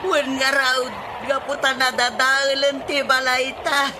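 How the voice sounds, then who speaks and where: A woman's voice: high-pitched, little pitch movement, a little slow, background noise. A woman with an Ilocano Filipino accent playing a nagging, fretful village wife in a radio comedy drama. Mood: fretful, panicky.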